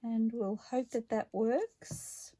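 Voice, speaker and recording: A woman talks through her crafting at a slow, easy pace. Her voice sits a little high and sounds mellow, with a croaky edge today. She chats casually, narrating each step as she works. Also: steady tone, slight background noise. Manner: chatty and unhurried, talking through each step. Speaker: craft vlogger. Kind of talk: relaxed craft narration while working.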